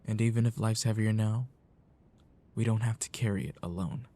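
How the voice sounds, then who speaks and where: A man playing a caring, wistful childhood friend in an ASMR roleplay, talking softly with a halting, reflective delivery. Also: lowish voice, steady tone, natural voice. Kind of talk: ASMR roleplay, soft intimate talk.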